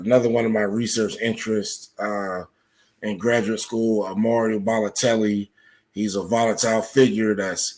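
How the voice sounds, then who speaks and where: A man giving explanatory answers as an interview guest, speaking in a halting way with frequent filler phrases. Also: lowish voice. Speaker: podcast guest, researcher.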